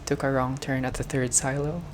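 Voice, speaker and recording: A man's voice: mid-range voice, level tone, brisk, background noise, close to an everyday voice. A man voicing a wry, shadowy old-timey detective from a visual novel, sardonic and coolly threatening. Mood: wry menace. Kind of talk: visual novel dialogue read aloud.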